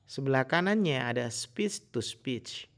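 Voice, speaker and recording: A man's voice: mid-range voice, expressive, a little slow, fairly bright tone. A man presenting a casual step-by-step tutorial in an instructional manner.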